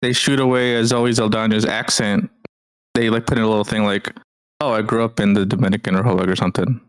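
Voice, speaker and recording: A man's voice: fairly low pitch, level tone, fairly quick, smooth, poor audio. A man co-hosting a casual podcast chat, explaining points in a laid-back, agreeable way. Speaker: podcast co-host.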